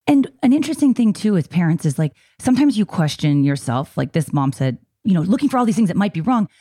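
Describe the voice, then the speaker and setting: A woman hosting a podcast, talking easily and a little chattily. Her pitch moves a lot, her tone is mellow, and the room sounds dry and close. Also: very animated, quick pace, no echo. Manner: chatty, relays a listener's question, then gives her own advice. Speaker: podcast host. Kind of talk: conversational podcast interview.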